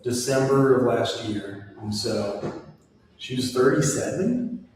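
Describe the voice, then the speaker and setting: Man in his forties, casual instructor with personal asides, booming echo, mic far away. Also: expressive, slow pace, noisy background. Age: 40s. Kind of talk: casual classroom lecture. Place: classroom. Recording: echoey hall recorded from far off.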